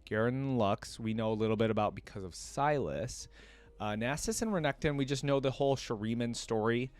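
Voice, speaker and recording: A man's voice: mid-range voice, a little fast. A man hosting a reaction video, thinking aloud and talking to viewers in an informal, slangy, chatty way.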